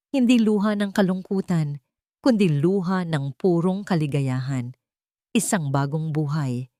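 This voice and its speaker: Woman, Filipino accent, lower pitch, lively intonation, emotive scripted romance narration. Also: no room sound, clean studio sound. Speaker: story narrator.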